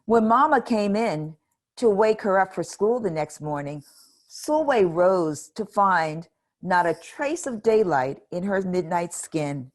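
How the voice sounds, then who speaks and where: A woman reading a children's picture book aloud in a gentle, expressive way. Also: mid-range voice, slow. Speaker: storybook reader.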